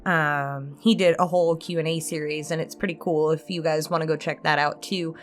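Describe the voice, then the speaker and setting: A woman with a fairly low voice hosts a casual chat for her audience. She talks informally and feels her way through her phrasing, with music faintly behind her. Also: steady tone, a little fast. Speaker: host, roleplay ASMR voice actor. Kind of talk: casual chat to viewers in a pre-recorded Q&A.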